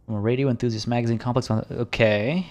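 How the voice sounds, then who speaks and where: A man streaming a video game, chatting casually with viewers in a rambling way, and slightly hoarse, as if losing his voice. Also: medium pitch, fairly even intonation, fast, darkish tone. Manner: rambling and chatty, frequent restarts. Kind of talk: casual livestream commentary.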